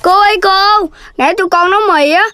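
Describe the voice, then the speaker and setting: An actor voicing an excitable, scheming, chubby little schoolkid in a children's cartoon, with a Southern Vietnamese accent and a whiny, exclamatory delivery. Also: extremely high pitch, quick pace, slight background noise. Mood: worried, urgent.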